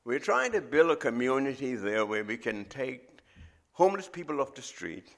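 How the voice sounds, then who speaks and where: Gravelly Bahamian preacher man. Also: mid-range voice, very animated. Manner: measured, emphatic preaching with drawn-out phrases. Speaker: visiting preacher. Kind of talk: sermon.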